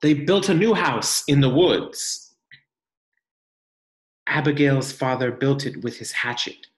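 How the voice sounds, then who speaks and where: Expressive, slow-paced man reading aloud. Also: medium pitch. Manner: expressive, deliberate, pausing for emphasis. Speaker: teacher reading a picture book aloud to children. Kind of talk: read-aloud storytelling with guiding questions. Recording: echoey room.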